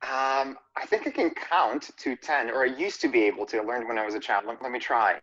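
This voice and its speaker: A man's voice: mid-range voice, fairly quick, a bit bright, dull audio. A man born in Serbia, a company CEO, answering interview questions in a friendly, chatty way.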